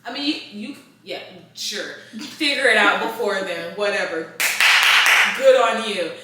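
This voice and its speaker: Echoey, bright-voiced woman in her late twenties. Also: mid-range voice, a little slow. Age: late 20s. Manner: blunt, emphatic, informal slangy talk. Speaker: podcast host. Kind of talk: casual advice chat. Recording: roomy echo, distant mic, faint noise.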